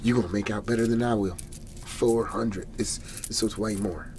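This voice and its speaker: A man playing a folksy, excitable garage-sale salesman in an ASMR roleplay, whispery and breathy, rambling and repeating his hard sell. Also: fairly low pitch, moderate pace, a little hiss or hum, lightly acted. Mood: pleased, insistent. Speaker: host playing a character.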